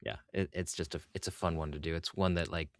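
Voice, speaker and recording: A man's voice: low voice, steady tone, fast talker. A man hosting a podcast interview in casual conversation, with an informal, fannish enthusiasm. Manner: informal, enthusiastic fan talk.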